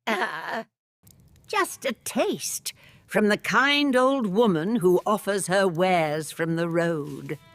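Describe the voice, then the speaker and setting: A woman voicing a frail old peddler woman who is secretly a wicked queen, coaxing and theatrical, in an animated fairy tale. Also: mid-range voice, slow pace. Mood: sly coaxing.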